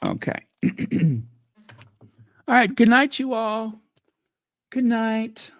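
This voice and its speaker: A man's voice: very high voice, very slow, a bit bright, no echo. A man hosting a casual livestream chat, losing his voice and making sarcastic, mocking asides. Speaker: livestream host.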